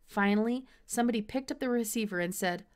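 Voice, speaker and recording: A woman in her thirties reading a children's chapter book aloud with expressive storytelling narration. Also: a little high, fast talker, clear, resonant tone. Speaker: storybook reader.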